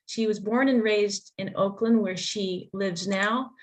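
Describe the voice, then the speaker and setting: Halting woman introducing a guest. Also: mid-range voice. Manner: warm but halting, with restarts and fillers. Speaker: event host.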